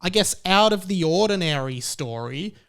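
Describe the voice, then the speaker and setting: A man's voice: high voice, lively intonation, normal speed, creaky, a bit bright, smooth. A man hosting a podcast, giving casual opinion commentary in a conversational, rambling and emphatic way. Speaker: podcast host.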